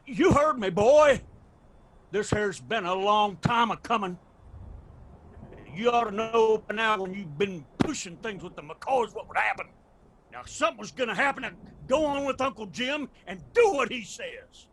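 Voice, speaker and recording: Commanding high-voiced Appalachian clan patriarch. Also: male voice, lively intonation, moderate pace, fairly bright tone, full character voice. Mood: stern, ordering. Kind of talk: radio drama acting.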